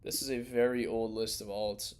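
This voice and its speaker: A young man hosting a crypto livestream in loose, rambling, slangy casual chat, his voice worn and going hoarse. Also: on the low side, fairly even intonation, moderate pace, a little mellow. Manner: loose, rambling, slangy, restarts mid-thought. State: losing his voice.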